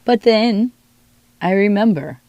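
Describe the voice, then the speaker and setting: A woman with a hoarse, worn voice from illness gives a soft-spoken tea leaf reading, musing and reassuring as she speaks straight to the listener. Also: medium pitch, expressive, slow, no room sound, dull audio. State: hoarse, losing her voice from illness.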